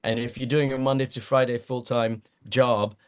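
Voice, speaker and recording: A man whose first language is German talks in a relaxed, rambling way as an interview guest. His voice sits at an ordinary mid pitch. He laughs often, pokes fun at himself and restarts his sentences as he goes. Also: moderate pace, clear, resonant tone. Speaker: guest voice actor. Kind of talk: casual interview chat.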